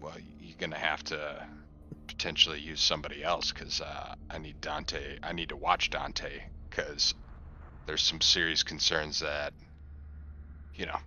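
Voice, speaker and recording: A man with an extremely deep voice and a hard, forceful edge plays a tabletop roleplaying game. He talks in a halting way over background noise. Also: level tone. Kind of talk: in-character game table chat. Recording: clear background noise and ambience.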